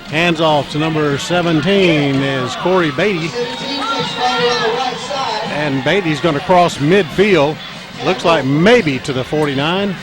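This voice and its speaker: Local play-by-play man, scratchy strained voice, halting delivery with fillers, outdoor stadium with band music and crowd. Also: fairly high pitch. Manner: halting, frequent fillers, rises when plays break open. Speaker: play-by-play announcer. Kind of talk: local high school football commentary.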